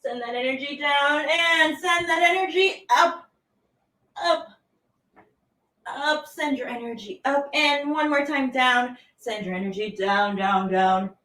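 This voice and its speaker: A woman leading a children's movement warm-up as a teaching artist, playful and exclaiming, stretching out her words as she gives simple instructions. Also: high-pitched, slow pace. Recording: roomy echo, distant from the mic.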